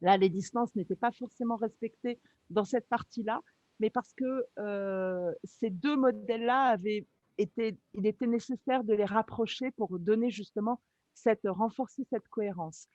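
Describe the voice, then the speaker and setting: A woman co-hosting a webinar on a video call, giving lively, concrete examples of how a workshop runs. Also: mid-range voice, moderate pace, dry recording, muffled recording. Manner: lively, concrete, laughing easily. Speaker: webinar co-host and facilitator. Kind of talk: practical webinar explanation.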